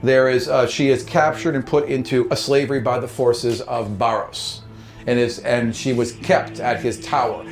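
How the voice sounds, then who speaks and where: A man's voice: mid-range voice, steady tone, moderate pace. A man working as game master, narrating a tabletop roleplaying game with vivid, informal storytelling. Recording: music playing behind the voice. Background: music.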